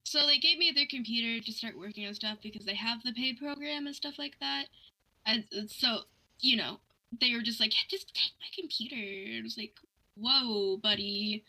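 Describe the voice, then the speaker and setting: A young woman with a very bright, piercing tone and a fairly high pitch. She tells stories in a casual, animated rant, slipping into quoted back-and-forth as she goes. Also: moderate pace, low-quality recording. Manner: animated ranting, quoting conversations in dialogue. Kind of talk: casual storytelling chat.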